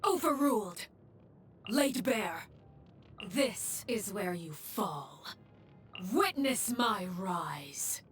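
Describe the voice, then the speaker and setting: A woman playing a stern, composed and coldly menacing female leader in a video game, speaking in a measured, formal way with a breathy, hushed voice. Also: mid-range voice. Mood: fierce, commanding. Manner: measured, formal, deliberate, coolly restrained. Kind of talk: scripted video game character voice lines.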